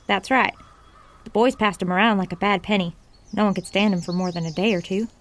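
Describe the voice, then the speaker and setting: A woman's voice: mid-range voice, fairly even intonation, brisk, a little hiss or hum, lightly acted. A woman playing the sleuth's blunt, plainspoken friend in a radio drama.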